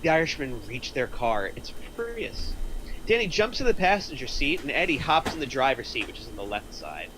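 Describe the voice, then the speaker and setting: A man narrates stage directions at a screenplay table read. His voice is bright and forward at an average pitch, with faint noise in the room. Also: very animated, a little fast, rough recording.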